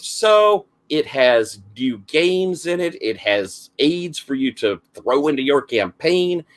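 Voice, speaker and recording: A middle-aged man with a heavy Southern accent and a gravelly voice, rambling through a casual introduction to the game he runs as game master, with lots of fillers and restarts. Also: mid-range voice. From South Carolina, US.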